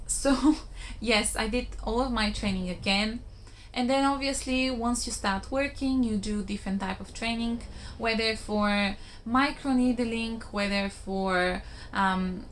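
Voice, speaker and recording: A woman's voice: medium pitch, a bit bright, slight background noise. A woman with a Bulgarian accent giving plain-spoken, informal skincare-style advice in a casual explanatory vlog.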